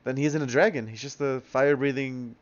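A man's voice: mid-range voice, fairly even intonation, quick pace, dull audio. A man chatting casually and profanely during a comic readthrough as an amateur voice actor.

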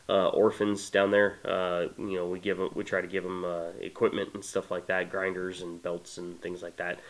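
Congested man, low-pitched, relaxed enthusiastic talk to camera, lots of fillers, small room. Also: rough recording. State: congested from a cold. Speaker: knife maker presenting his knife. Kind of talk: casual product talk to camera. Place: small indoor workshop room.

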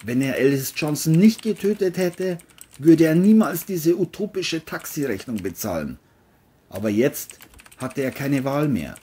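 A man's voice: fairly high pitch, very animated, measured pace, mellow, breathy edge. A man reading scripted narration for an audio crime story, as its narrator.

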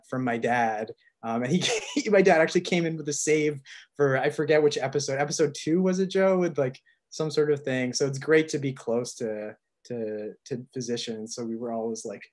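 A man talking on a video call panel, chatty and quick, with fillers and asides. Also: mid-range voice.

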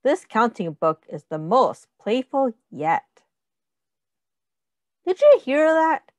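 Very slow, animated woman reading to children. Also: a little high, dry recording. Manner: sing-song, deliberate, instructive. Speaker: teacher reading a picture book aloud to young children. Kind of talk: read-aloud with counting and questions for preschoolers.

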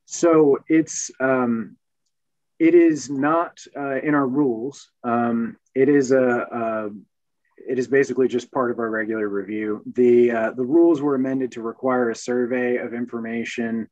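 A man's voice: mid-range voice, steady tone, measured pace, a little mellow, no echo. A man on a panel explaining his agency's review process, hesitant with many fillers, over a video call. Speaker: regulator panelist. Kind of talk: panel discussion. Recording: dull dry video-call audio.

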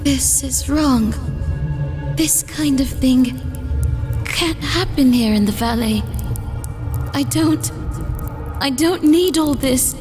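A lonely, wistful young village girl, voiced by a woman who speaks very slowly in a high voice. Music plays behind her. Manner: wistful, plaintive.

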